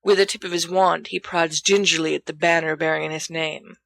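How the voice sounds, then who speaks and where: A woman's voice: sits low, steady tone, moderate pace, creaky, a bit bright, no echo. A woman reading scripted fiction aloud as an audiobook narrator. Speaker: audiobook reader.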